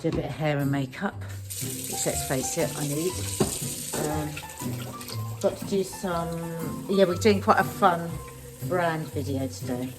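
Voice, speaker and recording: Middle-aged British woman, informal family vlogger, rambling and unhurried, music behind her. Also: sits low. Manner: rambling, informal, self-interrupting. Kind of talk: casual chatty vlog.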